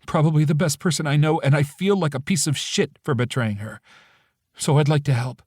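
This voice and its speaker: A frantic, tearful young woman begging on a phone call, her voice cracking as she pleads, put on by a male narrator. The voice sits well above his own low register and comes through close and dry. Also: a little fast, a little mellow, slightly rough, no room sound. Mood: remorseful. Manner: pleading, voice breaking with tears.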